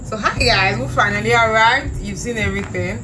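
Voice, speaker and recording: A woman's voice: fairly high pitch, fairly quick, over music, poor audio. A young woman from Nigeria with a Nigerian accent, chatting to camera as a travel vlogger, gushing and upbeat. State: tired after a long trip. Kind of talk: casual vlog talk to camera.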